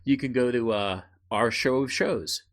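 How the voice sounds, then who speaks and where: A man chats casually as a podcast co-host at a middling pitch. He feels his way through sentences haltingly and slips in dry jokes as he goes. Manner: joking, halting. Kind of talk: casual conversational podcast chat.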